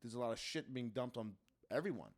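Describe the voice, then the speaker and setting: A man's voice: medium pitch, animated, brisk. A man chatting casually on a podcast, rambling, swearing and acting out the lines he quotes. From Germany and the United States. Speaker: podcast guest and amateur stand-up comic. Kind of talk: casual podcast chat with anecdotes.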